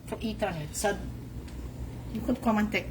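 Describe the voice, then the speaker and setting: A woman's voice: mid-range voice, moderate pace, background noise. An older woman, telling stories and giving cooking tips in a home kitchen. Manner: storytelling, instructive.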